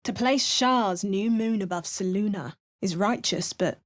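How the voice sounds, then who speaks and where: A dry, wry female adventurer, voiced by a woman muttering short remarks in a put-on Cockney accent. Her pitch sits mid-range for a woman, and she sounds like a fantasy video game hero. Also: measured pace, natural voice. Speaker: player character.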